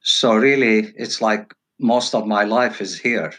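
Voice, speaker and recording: A middle-aged man from Turkey answering interview questions casually as a guest, in halting, broken phrases. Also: on the low side, pushed and bright. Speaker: podcast guest, hairstylist. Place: virtual call.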